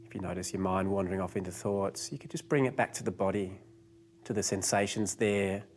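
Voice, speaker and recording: A man narrating a guided meditation as the guide, giving gentle, unhurried instructions with pauses. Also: deep voice, steady tone, a little hiss or hum.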